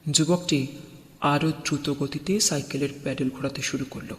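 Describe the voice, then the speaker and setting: Male horror audiobook narrator, higher register, suspenseful and expressive, drenched in long reverb. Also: normal speed. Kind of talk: dramatic scripted horror narration.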